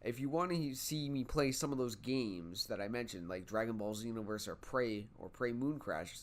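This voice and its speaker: A man's voice: medium pitch, normal speed. A young man with a slightly nasal voice from a cold, rambling casually into his desk mic as a gaming channel host. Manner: rambling, many fillers and restarts. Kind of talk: casual vlog chat. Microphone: USB desk mic with pop filter.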